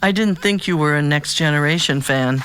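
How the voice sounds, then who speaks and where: An actress playing a calm, probing therapist with quiet humor, measured and professional, in an audio drama. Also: female voice, deep voice, clear, resonant tone, low-quality recording. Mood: composed, supportive. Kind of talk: naturalistic audio drama dialogue.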